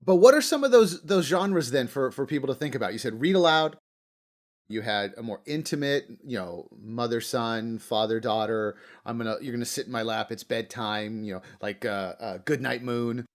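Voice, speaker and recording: A man's voice: mid-range voice, normal speed. A man hosting an interview in a casual, rambling way, thinking aloud and acting out little quotes. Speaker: podcast host.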